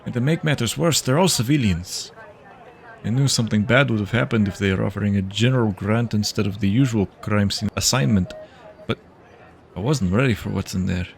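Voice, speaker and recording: Gravelly, weary male detective played by an actor. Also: on the low side, a little fast. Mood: grim, shaken. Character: weary, grim veteran male detective at a crime scene. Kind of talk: audio drama acting.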